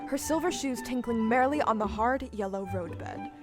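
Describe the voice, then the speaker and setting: A woman playing a measured, gentle storybook narrator in a scripted audio play. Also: on the high side, music in the background, close to an everyday voice. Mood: bright storytelling. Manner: measured, clearly phrased.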